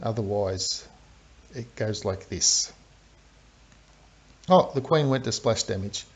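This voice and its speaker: A man's voice: fairly low pitch, lively intonation, slow, slightly rough. A man with an Australian accent, a game streamer chatting through live commentary and explaining strategy step by step. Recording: dull lo-fi stream audio.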